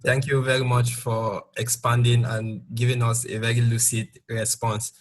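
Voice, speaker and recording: A man hosting an online interview, asking long, carefully framed questions in a polite, measured way over a video call. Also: medium pitch, flat delivery, a little hiss or hum. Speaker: host interviewer.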